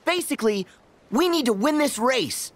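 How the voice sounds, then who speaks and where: A woman's voice: high voice, lively intonation, normal speed. A woman voicing an earnest, eager youthful hero in a video game, speaking with emphasis.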